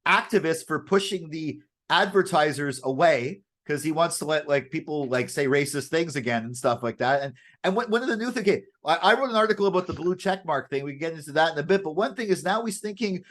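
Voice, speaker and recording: A man's voice: on the high side, a little fast, a bit bright. A man co-hosting a current affairs show, chatting casually and unscripted, often repeating and restarting his phrases. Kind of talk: casual unscripted news chat.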